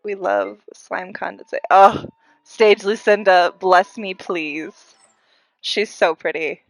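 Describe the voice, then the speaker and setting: A woman's voice: medium pitch, takes it slowly, no room sound, low-quality recording. A young woman with a congested voice chatting casually and gushing as she streams a game. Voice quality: congested, audibly breathy intakes. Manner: giggly, gushing fan. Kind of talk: casual live gameplay chat. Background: faint game music.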